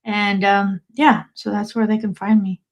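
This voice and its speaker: A woman in her fifties as a podcast guest, speaking in a measured, reflective way. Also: mid-range voice, flat delivery. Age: 50s. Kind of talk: interview answers.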